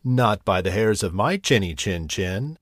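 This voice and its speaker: A man's voice: on the low side, lively intonation, a little slow, a bit bright, no echo, clean studio sound. A man voicing a young male pig in a storybook, with a lifted, pleading voice. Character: second little pig, young male, cheeky.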